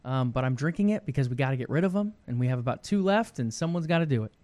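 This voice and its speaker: A man's voice: medium pitch, lively intonation, quick pace, a little mellow, dry recording. Laid back guy cohosting a gaming show, tired, throat a bit worn, laughs a lot, dry close sound. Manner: easygoing, laughs often. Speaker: podcast co-host. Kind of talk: casual gaming podcast chat.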